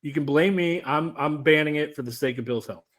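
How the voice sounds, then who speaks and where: A man's voice: fairly high pitch, fairly quick, fairly bright tone. Dry-witted man hosting a podcast. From Indiana, USA. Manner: dry, teasing. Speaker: podcast host. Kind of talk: casual chat.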